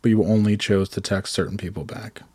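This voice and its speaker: A man with a hoarse voice, telling rambling self-deprecating stories in casual chat. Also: fairly low pitch, fairly even intonation, fairly quick, warm tone, dry recording, clean studio sound. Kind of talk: casual comedic storytelling.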